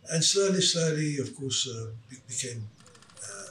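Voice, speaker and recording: A man in his eighties who grew up in South Africa, telling stories from his life as an interview guest in a halting way, with many fillers and restarts. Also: on the high side, expressive, fairly bright tone. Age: 80s. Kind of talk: storytelling in casual chat. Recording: roomy, distant mic, faint background noise.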